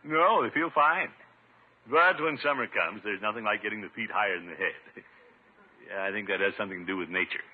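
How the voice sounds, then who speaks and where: An actor playing a pompous, bumbling middle-aged water commissioner who blusters and gets flustered in a 1950s radio sitcom. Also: male voice, on the low side, fairly quick, husky. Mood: relaxed, self-satisfied. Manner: pompous, blustering, stammering when caught out. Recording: muffled narrowband 1950s radio recording.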